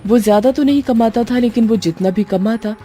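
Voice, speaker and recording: Mellow woman storyteller over music. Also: fairly high pitch, a little fast. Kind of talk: scripted narration of a Hindi moral horror story. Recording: music under the voice.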